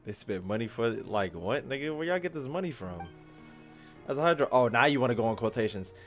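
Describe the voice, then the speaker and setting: A man's voice: medium pitch, expressive, fairly quick, a bit bright. A man giving casual, slangy, irreverent commentary as a gaming YouTuber. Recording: faint game music behind.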